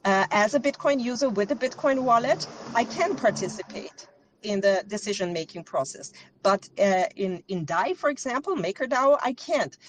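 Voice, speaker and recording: A woman's voice: mid-range voice, animated, moderate pace, a little hiss or hum, rough recording. A woman from Austria, a guest answering interview questions in earnest, lecturing detail, often correcting herself mid-sentence. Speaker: guest expert. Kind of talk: interview answers, explaining at length.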